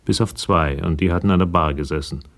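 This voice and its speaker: Deep, creaky-voiced crime story narrator. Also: male voice, a little fast. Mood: sober. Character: sober male narrator of a detective story. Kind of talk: scripted narration of a radio crime drama.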